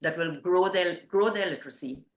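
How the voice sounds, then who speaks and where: A middle-aged woman, a teacher answering interview questions, hesitant and earnest with frequent pauses and restarts. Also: lowish voice, echoey room. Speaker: literacy nonprofit co-founder, guest.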